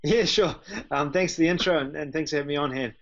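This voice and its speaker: A man's voice: a little high, fast, fairly bright tone. New Zealand man on dull lo-fi audio. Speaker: interview guest, internet marketer. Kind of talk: casual personal storytelling.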